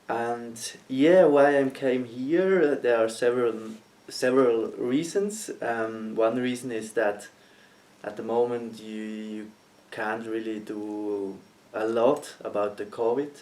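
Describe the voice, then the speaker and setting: Slow, hesitant young man from Switzerland. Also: mid-range voice, steady tone, darkish tone. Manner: hesitant, searching for words, many ums. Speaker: guest interviewer, student. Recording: voice heard from a distance.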